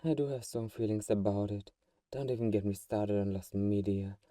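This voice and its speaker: A man playing a doting but secretly manipulative vampire friend in an ASMR roleplay, soft-spoken and fussing, with a mellow, dark tone. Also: lowish voice, steady tone, moderate pace, no room sound, far from the mic, lightly acted. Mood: chatty, wry.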